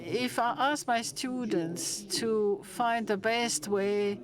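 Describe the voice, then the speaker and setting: Slow German-accented woman at a conference. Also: on the high side, a crowd in the background. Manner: halting, with many restarts and fillers. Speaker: conference speaker. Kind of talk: conference talk. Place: conference hall with an audience.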